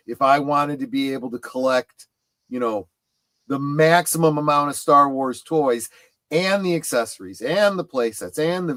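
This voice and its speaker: A man's voice: mid-range voice, a bit bright, dry recording. A man chatting casually on a panel, explaining things in a discursive way. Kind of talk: casual panel chat about collecting.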